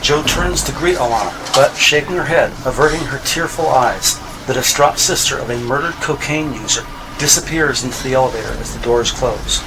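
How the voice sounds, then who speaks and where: A man reading scripted narration for a crime audio drama. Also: medium pitch. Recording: noisy ambient sound bed.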